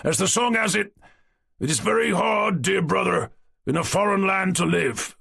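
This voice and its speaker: A man voicing an emotional, boisterous old male Cossack hunter in an audiobook, loud and sentimental with a raspy voice. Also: sits high, moderate pace, no echo, clean studio sound, pitched above the performer's own voice, full character voice. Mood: reproachful. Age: older. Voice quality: gravelly, raspy. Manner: loud, lurching, sentimental outbursts. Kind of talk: audiobook character voice.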